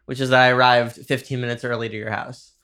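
A man's voice: mid-range voice, little pitch movement, quick pace, pushed and bright. A man hosting a casual podcast chat, talking loosely with lots of filler words and easy laughter.

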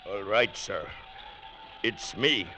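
An actor playing a stoic, loyal sailor in clipped, dutiful 1940s radio style. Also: male voice, on the low side, takes it slowly, bright, forceful tone. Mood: strained. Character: stoic, loyal sailor serving his skipper. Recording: noisy old radio recording.

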